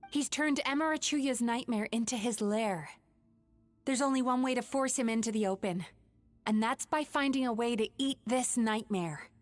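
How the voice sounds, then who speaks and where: Actress as a high-voiced, earnest young heroine. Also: female voice, moderate pace, no echo, natural voice. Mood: calm, explanatory. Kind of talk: video game voice acting. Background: background music.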